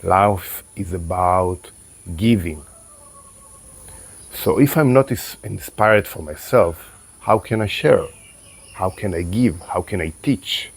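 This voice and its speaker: A man whose first language is Hebrew, a qigong and tai chi teacher speaking calmly and reflectively to his viewers, his voice a little closed. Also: deep voice, vocal fry, slightly rough. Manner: calm, unhurried, with pauses and restarts. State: voice a bit closed after meditation. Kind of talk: reflective spoken talk to camera.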